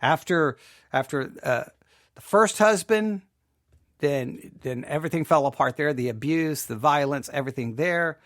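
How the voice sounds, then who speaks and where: A middle-aged man giving informal personal commentary as a host, in a candid, halting way, repeating himself as he goes. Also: fairly high pitch, a bit bright, dry recording, studio quality. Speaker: podcast host.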